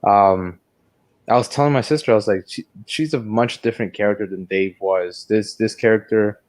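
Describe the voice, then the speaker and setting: A man's voice: lowish voice, moderate pace. A man co-hosting a podcast, talking through a film review in a casual, rambling, digressive way. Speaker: podcast co-host.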